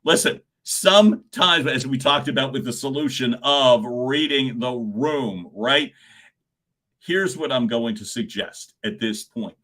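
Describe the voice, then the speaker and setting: Loud, animated male speaking coach. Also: a little slow. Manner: animated, swings pitch and volume, chuckles often. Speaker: communication coach hosting a live stream. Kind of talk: live-streamed coaching talk.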